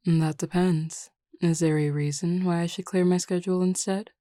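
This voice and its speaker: A woman playing a flirty, teasing, self-assured werewolf pack beta in an audio roleplay, talking in a casually confident, unhurried way. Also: lowish voice, fairly even intonation, dark tone, dry recording, clean studio sound, lightly acted. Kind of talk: scripted audio roleplay acting.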